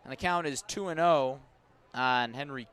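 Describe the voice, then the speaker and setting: A man doing live baseball radio play-by-play, with a measured delivery that lifts on action calls and descriptive asides between pitches. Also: a bit bright, clean tone. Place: outdoor ballpark broadcast position above the stands.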